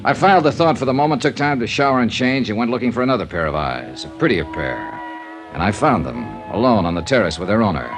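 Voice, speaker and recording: An American actor playing a hardboiled, sardonic insurance investigator in a 1950s radio drama, speaking in a clipped, wry way. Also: male voice, on the low side, expressive, fast talker, music in the background, lightly acted. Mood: reflective narration. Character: hardboiled wry male insurance investigator, narrating his cases. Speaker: lead actor and narrator. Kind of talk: 1950s radio detective acting. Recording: noisy old radio recording.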